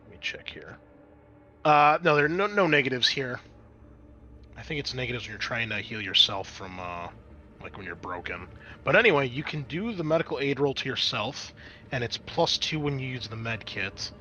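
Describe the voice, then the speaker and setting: A man's voice: mid-range voice, expressive, moderate pace, pushed and bright, highs cut off, slight background noise. A man acting as game master, narrating a tabletop role-playing game and talking players through the rules.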